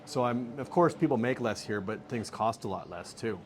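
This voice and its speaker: A middle-aged American man giving relaxed, joking answers as an interview guest. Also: mid-range voice, normal speed. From United States. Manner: relaxed, joking, laughs easily. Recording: faint background noise.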